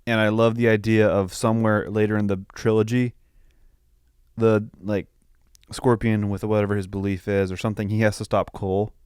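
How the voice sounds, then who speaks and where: A man co-hosting a podcast, chatting casually and musing aloud in a loose, halting way. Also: fairly low pitch, level tone, a little mellow, clear, resonant tone, no echo, studio quality. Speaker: podcast co-host reviewing a film. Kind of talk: casual chat.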